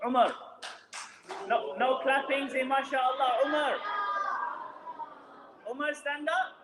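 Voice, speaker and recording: A man with a notably high voice announces prizes to children, projecting loudly so the whole room can hear. His voice carries through an echoey mosque hall. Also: steady tone, slow pace, very noisy. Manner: loud, projecting over a crowd.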